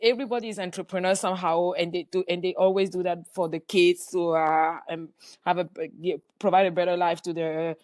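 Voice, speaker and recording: A woman's voice: fairly low pitch, fairly even intonation, fairly quick, darkish tone, dry recording. A woman, a podcast guest talking in an earnest, hesitant way, often restarting and searching for words. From Cameroon. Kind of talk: casual interview talk.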